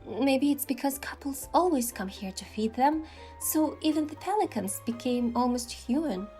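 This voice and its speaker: A woman reading scripted first-person story narration in an expressive way, acting out the lines as a heroine. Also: high-pitched, moderate pace, faint music behind. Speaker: narrator voicing a game's heroine.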